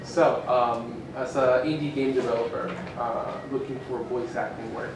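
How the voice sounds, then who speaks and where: A man's voice: mid-range voice, steady tone. Hesitant man in an echoey hall. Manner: hesitant, halting. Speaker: audience questioner, indie game developer. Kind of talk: casual question. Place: large indoor convention panel room. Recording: very echoey, distant, noisy convention room.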